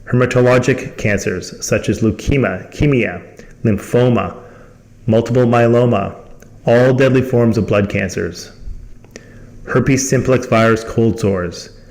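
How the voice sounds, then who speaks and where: A man's voice: mid-range voice, measured pace, darkish tone. Formal man reading a corporate presentation. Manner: formal, reading from prepared text. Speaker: company presenter and call host.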